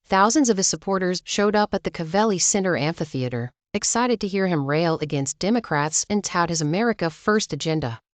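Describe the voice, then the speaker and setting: Woman doing news voiceover, kinda low voice, super dry close sound. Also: animated, fairly quick, few pauses, dry recording. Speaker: news channel narrator. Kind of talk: scripted news narration.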